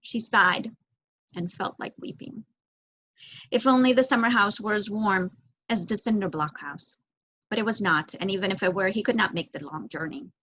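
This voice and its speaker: A young woman with a husky voice reading a children's novel aloud as scripted narration. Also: medium pitch, moderate pace, fairly bright tone. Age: young adult. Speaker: audiobook reader, student volunteer. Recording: dull lo-fi recording.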